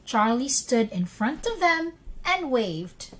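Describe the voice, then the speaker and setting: A woman reading a children's storybook aloud as narrator, in an expressive, deliberate way for young listeners. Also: a little high.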